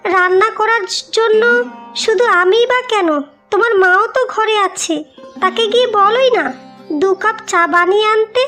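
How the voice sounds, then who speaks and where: A vain young new wife, played by a woman in a cartoon melodrama, with an extremely high voice. She is haughty and quarrelsome, scolding indignantly over background music. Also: normal speed, fairly bright tone.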